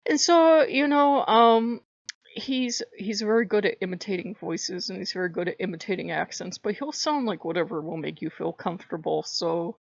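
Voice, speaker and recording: A woman with a high voice, talking casually to camera in a chatty, rambling way with frequent laughs. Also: expressive, normal speed, gravelly, dry recording, dull audio. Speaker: spiritual vlogger.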